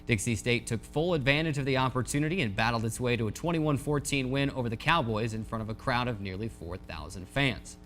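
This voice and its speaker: Male sports show host, scripted recap read, mid-pitched, light music bed underneath. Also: a little fast, hardly any pauses, fairly bright tone.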